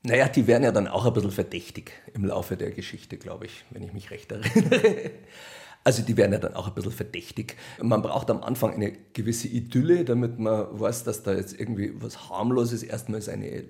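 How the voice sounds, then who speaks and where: A man's voice: fairly low pitch, brisk, gravelly, warm tone. A man with a Bavarian accent chatting colloquially and wryly in an interview, as a radio play author and director. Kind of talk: casual interview talk.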